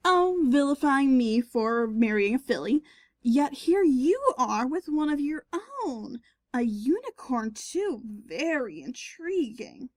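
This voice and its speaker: Woman acting a smug, lively unicorn mare. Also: high voice, slow pace, no echo, full character voice. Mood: smug mocking. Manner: knowing, mocking, self-assured. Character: smug, mischievous female unicorn pony. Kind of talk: fan audio drama acting.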